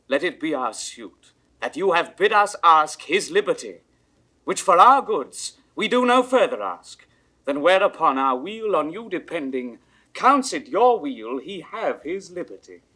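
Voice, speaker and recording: A bold, principled nobleman in a 1960s Shakespeare audio play. His delivery is forceful and rhetorical, with a husky edge and big swings of pitch. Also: sing-song, unhurried, heavily acted. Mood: earnest pleading. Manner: rhetorical, emphatic, theatrical declamation. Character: earnest, indignant English nobleman, bold and principled. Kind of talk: 1960s classical Shakespeare audio drama acting.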